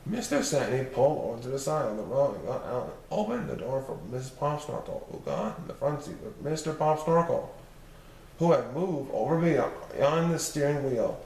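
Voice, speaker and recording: A man's voice: mid-range voice, animated, normal speed, gravelly, a little mellow. A man reading a children's story aloud as narrator. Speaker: storybook reader. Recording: roomy, distant mic, faint noise.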